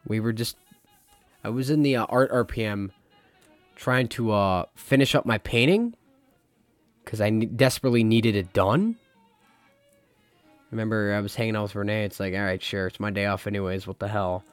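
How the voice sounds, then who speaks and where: A young adult man hosting his own podcast, talking in a rambling, confessional way, his voice a little hoarse as it recovers. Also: on the low side, moderate pace, long pauses, no echo. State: slightly hoarse, recovering lost voice. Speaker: podcast host. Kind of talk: casual solo podcast talk. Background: faint jazz music.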